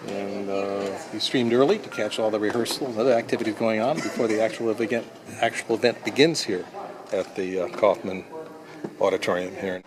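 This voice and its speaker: A man's voice: lowish voice, brisk, noisy background. A man giving an informal spoken introduction to an event. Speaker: event announcer. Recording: crowd chatter behind.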